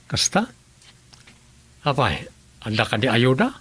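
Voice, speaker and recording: A man's voice: mid-range voice, very animated, measured pace, fairly bright tone, husky, heavily acted. A male actor with an Ilocano accent playing an inquisitive, skeptical villager in a rural comedy radio drama. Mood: curious, doubtful. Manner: questioning, colloquial.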